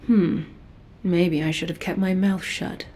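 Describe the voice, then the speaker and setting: An actress playing a stern but quietly caring female special forces agent in video game dialogue, measured, dry and matter-of-fact. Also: fairly low pitch, fairly even intonation, slight background noise, lightly acted.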